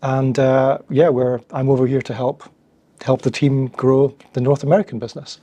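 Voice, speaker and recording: A middle-aged Scottish man talks through his answers in an easygoing way, often doubling back on a word. His voice is mellow and a little husky. The sound is dry and close, with hardly any room in it. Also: medium pitch, normal speed, no echo. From Scotland. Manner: relaxed, repeats words as he thinks. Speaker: guest, agency founder. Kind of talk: conversational interview answers.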